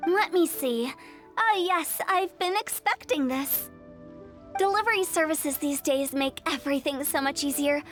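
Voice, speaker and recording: A woman's voice: high-pitched, a little slow, a bit bright, soft background music. A woman voicing a playful, eccentric, theatrical young woman in a video game, with a cheeky manner. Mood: teasing.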